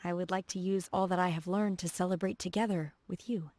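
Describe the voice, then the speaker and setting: A woman voicing a reserved, earnest, socially awkward young female martial disciple in a video game, speaking in a formal, measured way. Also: fairly low pitch, fairly even intonation, a little mellow, a little hiss or hum, lightly acted. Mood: wistful. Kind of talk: video game character voice acting. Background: background music.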